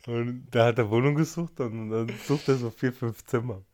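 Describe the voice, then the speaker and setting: A man's voice: medium pitch, sharp tone, slight background noise. A man co-hosting a casual podcast, joking and laughing often and trailing off mid-sentence. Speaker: podcast co-host. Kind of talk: casual chat.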